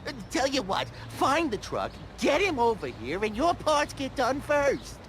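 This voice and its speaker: An actor playing a harried, deal-making chrome-shop supplier in a video game. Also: male voice, very high voice, wildly swooping pitch, unhurried, a bit bright, slight background noise, full character voice. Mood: exasperated, bargaining. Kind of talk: video game cutscene acting.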